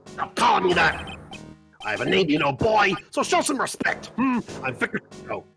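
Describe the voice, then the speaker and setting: Dirty old man for a game dub, super creaky n dramatic, music playing behind. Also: high voice, very animated, moderate pace, sharp tone, full character voice. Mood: indignant. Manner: melodramatic, stammering outbursts. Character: crotchety, lecherous old man who feeds pigeons. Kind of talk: fan dub of a visual novel game.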